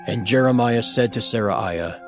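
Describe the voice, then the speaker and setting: Solemn male scripture narrator over music. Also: mid-range voice. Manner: measured, solemn, phrase by phrase.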